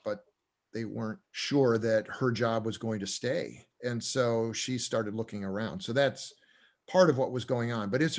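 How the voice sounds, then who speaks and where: Rambling chuckling older man. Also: mid-range voice. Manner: rambling, chuckling, many fillers and restarts. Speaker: co-owner and professor. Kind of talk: casual interview talk.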